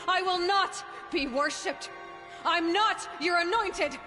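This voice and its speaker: A woman's voice: extremely high pitch, slow, sharp tone, soft background music, exaggerated voice. An actress playing a determined young woman hunter in video game dialogue, earnest and direct. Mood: defiant.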